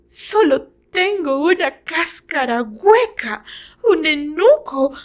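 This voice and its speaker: A woman voicing a fragile, tormented, tearful woman, bitter and resigned, with halting, breathy theatrical delivery in an audio novel. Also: high-pitched, lively intonation, voice pushed up. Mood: anguished, bitter. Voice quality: thin, whispery voice at moments.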